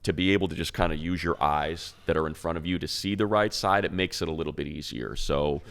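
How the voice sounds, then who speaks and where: A man's voice: a bass voice, steady tone, quick pace, vocal fry, a bit bright. A man with an American accent giving casual football analysis as a co-host on a sports podcast.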